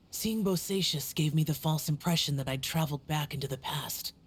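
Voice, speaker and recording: A woman's voice: deep voice, breathy edge, exaggerated voice. A woman voicing a brooding young male guardian. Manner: grave, measured, weary introspection. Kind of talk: video game voice acting.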